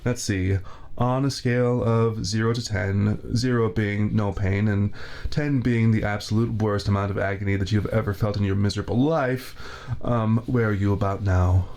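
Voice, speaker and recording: A man's voice: on the low side, fairly even intonation, gravelly, a little mellow. A man voicing a spiteful, fussy, laid-off veteran torturer in an ASMR roleplay, with formal, theatrical diction, recorded on a binaural mic. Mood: fussy, clinical. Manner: formal, theatrical villain diction, slow and deliberate. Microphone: 3Dio binaural mic.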